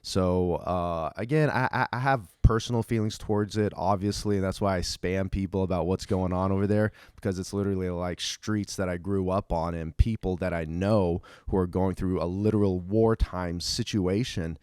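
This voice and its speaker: A man's voice: low voice, fairly even intonation, moderate pace, hardly any pauses, a little mellow, clean tone, dry recording, clean studio sound. A young man who grew up in Ukraine, co-hosting a podcast and explaining things in an informal, earnest way. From grew up in Ukraine, from an American missionary family. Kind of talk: casual, earnest explanatory talk.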